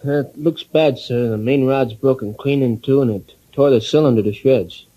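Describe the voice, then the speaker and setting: A man playing a steady, earnest submarine engineer in old-time radio drama, with a respectful, matter-of-fact way of reporting. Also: medium pitch, normal speed, close to an everyday voice, low-quality recording. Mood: earnest, grave.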